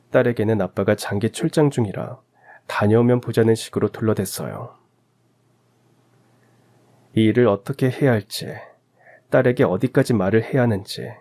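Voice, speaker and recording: A man's voice: lowish voice, darkish tone. A man reading a scripted first-person story as the narrator of a radio drama. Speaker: narrator of audio stories.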